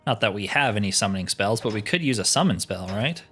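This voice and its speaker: A man's voice: lowish voice, animated, quick pace, fairly bright tone, faint music behind. A man giving casual gameplay commentary, thinking aloud with mild slangy exclamations. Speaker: let's player narrating a roleplay playthrough.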